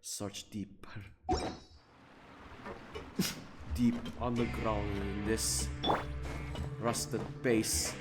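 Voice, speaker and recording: A man giving casual, halting game commentary in an offhand, chuckling way as he plays. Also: fairly low pitch, level tone. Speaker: gamer, streamer. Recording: game music behind the voice.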